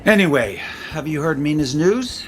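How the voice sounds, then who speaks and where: A man's voice: a little high, animated, normal speed, natural voice. A middle-aged man acting a breezy, probing businessman and father in an audio soap opera. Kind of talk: naturalistic soap opera dialogue. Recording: studio drama with music underneath. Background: music.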